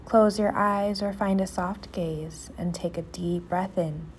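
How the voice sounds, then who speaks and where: A woman leading a guided meditation in a soft, soothing, often near-whispered voice with pauses. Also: on the low side, steady tone, slight background noise.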